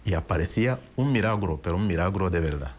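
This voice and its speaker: A man with a very low, deep voice tells his life story in an interview. He speaks in a reflective, unhurried way, pausing and restarting as he searches for words. Spanish is not his tongue. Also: expressive. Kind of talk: personal testimony in an interview.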